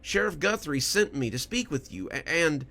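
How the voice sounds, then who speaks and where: A man performs a desperate writer from a horror tale. The voice sits high and strained with pleading, halting and stammering through each phrase, lost and confused. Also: very animated, creaky, sharp tone, full character voice. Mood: pleading, disbelieving. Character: frantic stuttering male writer, confused and pleading. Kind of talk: horror audio story character voice.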